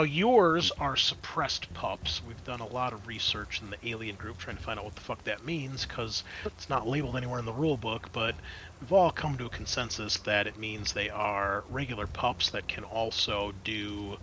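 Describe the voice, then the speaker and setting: A man's voice: medium pitch, bright, forceful tone, rough recording. A man acting as game master, narrating a tabletop roleplaying game in a casual, explanatory, conversational way. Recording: clear background noise.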